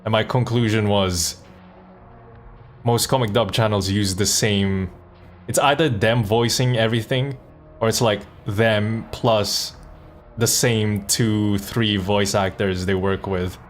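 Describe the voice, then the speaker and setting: Male streamer, low register, informal and off the cuff, music playing behind him. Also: steady tone, slow. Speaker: streamer and comic dub voice actor. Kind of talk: casual livestream chat.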